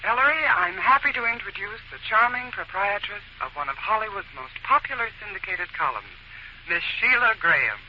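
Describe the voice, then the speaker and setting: An actress with an American accent playing a pert young secretary, coaxing and wry, in a 1940s radio drama. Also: female voice, medium pitch, very animated, fairly quick, close to an everyday voice. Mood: gracious. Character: Nikki, pert young female secretary, sweet and teasing. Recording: muffled, very noisy old radio recording.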